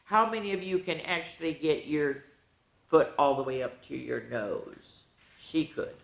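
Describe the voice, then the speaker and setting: A woman's voice: low-pitched, lively intonation, unhurried, gravelly. Older woman, echoey room, children's story-time host, playful, folksy, chatty read-aloud. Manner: playful, folksy, addresses the young listeners directly. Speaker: storytime host reading picture books to children.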